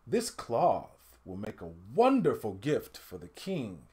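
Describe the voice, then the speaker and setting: A man voicing a king's treasurer in a storybook, deliberate, commanding and appreciative. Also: medium pitch, wildly swooping pitch, warm tone, pitched below the performer's own voice. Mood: musing. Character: imposing royal treasurer, decisive and appreciative.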